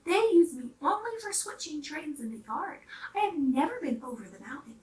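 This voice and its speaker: A woman's voice: high-pitched, very animated, moderate pace, darkish tone, roomy sound, distant mic, slight background noise, pitched above the performer's own voice. A woman voicing a small, kind, earnest female blue train engine in a children's storybook. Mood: modest. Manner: earnest, chanting repeated phrases.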